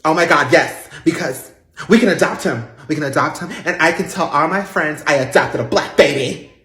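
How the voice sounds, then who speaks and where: A performer voicing a snobbish, haughty rich housewife who talks in a shrill, indignant way. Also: moderate pace, fairly bright tone, close to an everyday voice. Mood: gushing. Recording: roomy echo with faint music.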